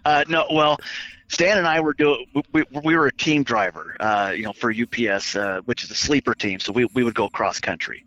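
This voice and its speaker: A man with a scratchy voice tells stories with a self-mocking streak. His pitch sits in the middle range for a man. The audio is dull and lo-fi. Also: fairly quick, a bit bright. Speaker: guest. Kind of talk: casual chat.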